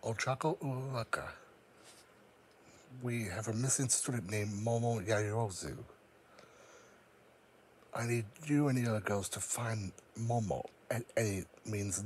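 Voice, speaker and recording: A man's voice: fairly low pitch, measured pace, long pauses, slight background noise, lightly acted. Male performer as young male hero, formal, commanding, clipped urgent delivery, audio roleplay.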